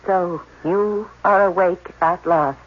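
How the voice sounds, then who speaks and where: A woman playing a calm, formal alien language scholar in a 1980s radio drama, speaking in precise, stilted phrases. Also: on the low side, takes it slowly, background noise. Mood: serene, clinical. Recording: muffled old radio broadcast.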